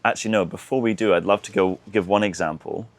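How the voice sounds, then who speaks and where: A man's voice: lowish voice, fast talker, no echo. A man hosting a podcast, steering the chat with hesitant questions and restarts. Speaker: podcast host. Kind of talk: casual podcast conversation.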